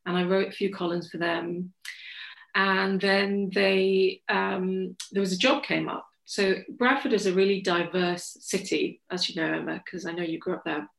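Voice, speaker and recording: A woman from England, a journalist telling her story in a forthright, lively interview. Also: on the low side, normal speed, vocal fry. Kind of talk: candid conversational storytelling. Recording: echoey room.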